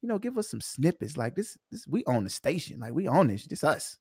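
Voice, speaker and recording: A man's voice: on the high side, sing-song, a little fast, darkish tone, slightly rough, dry recording. A young man in his twenties hosting a casual studio session, talking in a laid-back, slangy hype-man style. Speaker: host. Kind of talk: casual chat. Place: small studio room.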